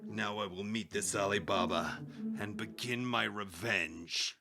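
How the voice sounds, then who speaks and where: A man's voice: on the low side, measured pace, heavy background noise. An actor playing a vengeful male bandit chief in a radio drama, posing as a courteous merchant with formal, florid speech. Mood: scheming.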